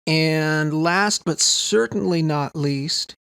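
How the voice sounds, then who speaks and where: A man's voice: a little high, slow pace, gravelly, a bit bright, clear, resonant tone, no room sound. A man giving a casual, informal tutorial voice-over, explaining gear with enthusiasm as a sound designer.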